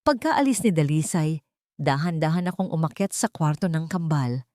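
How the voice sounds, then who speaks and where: A woman's voice: deep voice, expressive, normal speed, darkish tone, dry recording, clean studio sound. A woman with a Filipino accent reading a scripted story as a dramatic audiobook narrator.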